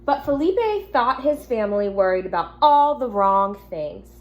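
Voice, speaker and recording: A woman teacher speaking gently and simply to young children, reading a picture book aloud at home with casual asides. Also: a little high, big pitch swings, unhurried, dull audio. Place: at home in an apartment. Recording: noticeable background noise, home recording.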